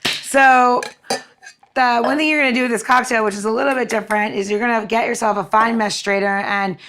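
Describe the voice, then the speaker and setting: A woman's voice: fairly high pitch, steady tone, brisk, background noise. A woman co-hosting a podcast, talking through drinks in a casual how-to chat. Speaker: podcast co-host and cocktail mixer.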